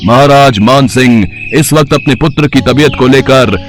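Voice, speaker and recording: A man's voice: mid-range voice, moderate pace, faint music behind, lightly acted. A man playing a royal soldier making formal proclamations in a storybook tale. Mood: proclaiming. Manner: declamatory, formal town-crier announcing. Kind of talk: Hindi moral storybook acting.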